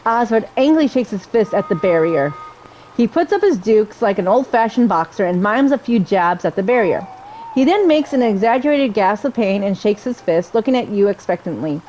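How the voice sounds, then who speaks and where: Woman, gaming host, animated higher voice, casual commentary and read-aloud, slight background noise. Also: moderate pace, low-quality recording. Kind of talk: playthrough commentary with reading aloud of game text.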